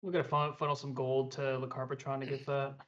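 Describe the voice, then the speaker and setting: A man with a medium-pitched voice, recorded a little dull and lo-fi. He joins a podcast chat as a guest, speaking informally in brief, easygoing remarks. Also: flat delivery, quick pace, fairly bright tone. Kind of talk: casual chat. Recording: dull lo-fi microphone sound.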